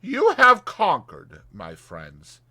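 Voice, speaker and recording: A man voicing a conceited, theatrical gentleman toad with a pompous, melodramatic manner, in a dramatized audiobook. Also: high-pitched, wildly swooping pitch, takes it slowly, slightly rough, pitched above the performer's own voice, exaggerated voice. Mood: humbled, emotional. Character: conceited male toad, swaggering, theatrical, self-pitying gentleman.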